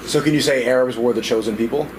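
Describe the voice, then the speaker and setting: A man interviewer asking questions in a halting, hesitant way. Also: medium pitch, background noise.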